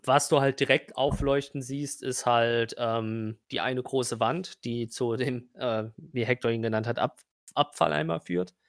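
A man's voice: medium pitch, unhurried, creaky, dry recording, studio quality. A man narrating an improvised tabletop role-playing game casually as game master, often rephrasing his descriptions as he goes.

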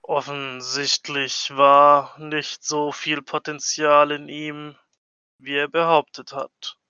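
Bright, clear, higher-pitched man playing tabletop. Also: level tone, takes it slowly, dry recording, highs cut off. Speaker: tabletop role-playing player. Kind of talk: casual game-table chat.

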